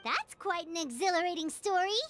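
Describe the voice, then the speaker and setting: A woman voicing a tiny, excitable, curious fairy girl companion in a video game, squeaky and childlike. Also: fairly quick. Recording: music behind the voice.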